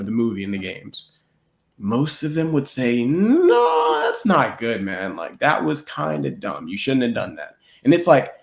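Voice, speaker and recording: A man with a gravelly, creaky voice and medium pitch chats as a podcast co-host. He is casual and opinionated, leaning on incredulous rhetorical questions, and the room around him is echoey. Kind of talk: casual opinionated film chat.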